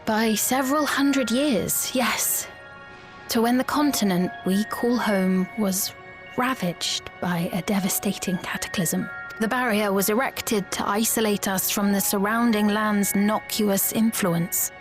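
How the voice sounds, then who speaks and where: A woman playing a gracious, courtly queen in a video game, speaking formally and with measured composure. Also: medium pitch, music in the background, close to an everyday voice. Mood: composed, regal. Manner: formal, measured, regal diction. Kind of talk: scripted video game cutscene acting.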